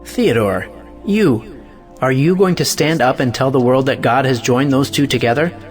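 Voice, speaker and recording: A man's voice: mid-range voice, normal speed, faint music behind, lightly acted. A man playing a cynical, defiant free-thinker in an audio play, speaking with sardonic emphasis. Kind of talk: audio stage play acting.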